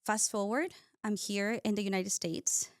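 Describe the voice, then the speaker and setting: A woman's voice: medium pitch, steady tone, unhurried, dry recording, studio quality. Ecuador-raised woman telling her story. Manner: warm storytelling with frequent "uh" and small grammar slips. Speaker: guest, cosmetic scientist. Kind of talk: casual interview talk.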